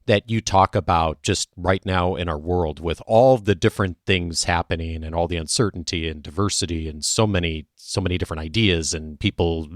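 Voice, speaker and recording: Deep-voiced male podcast host, reflective. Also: normal speed, few pauses, no echo, studio quality. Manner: reflective, thoughtful summarizing. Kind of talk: interview conversation.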